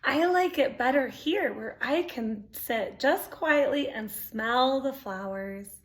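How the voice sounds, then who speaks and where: Ferdinand, a quiet peaceful young bull read by a woman. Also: sits high, lively intonation, slow. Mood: content. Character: big, peaceful young male bull who loves flowers.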